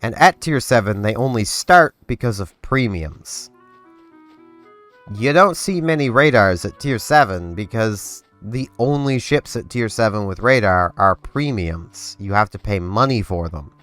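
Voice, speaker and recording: A man's voice: fairly low pitch, lively intonation, unhurried, a bit bright. A congested young man hosting a gaming channel, rambling casually over gameplay with lots of fillers and restarts. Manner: rambling, filler-heavy, self-interrupting. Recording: dry close recording with faint game music.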